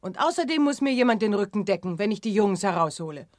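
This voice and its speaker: A woman's voice: mid-range voice, lively intonation, fast talker, no echo, studio quality, natural voice. An actress playing a courageous, headstrong young woman adventurer in a science fiction radio play. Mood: insistent.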